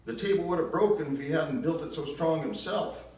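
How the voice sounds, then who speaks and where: A man voicing a bitter, grieving mother, loud and shrill with scorn. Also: very animated, unhurried, heavily acted. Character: bitter grieving southern mother, middle-aged woman. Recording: echoey room, mic far off.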